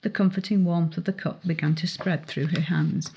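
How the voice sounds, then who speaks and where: A woman's voice: lowish voice, fairly even intonation, moderate pace, dark tone, lo-fi sound, a little hiss or hum. A woman reading her young adult novel aloud as dramatic audiobook narration. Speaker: author reading own novel excerpt.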